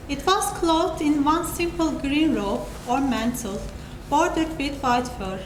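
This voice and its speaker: A woman with a high voice narrates a classic story as a dramatic live reading. The room is very echoey, and there is clear noise in the recording. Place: indoor live reading event.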